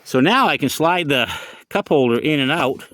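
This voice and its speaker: A man's voice: a little high, lively intonation, moderate pace, pushed and bright, slight background noise. A middle-aged or older man from the United States giving a casual, rambling vlog demonstration inside his pickup truck, often repeating himself. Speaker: hobbyist showing his CB radio.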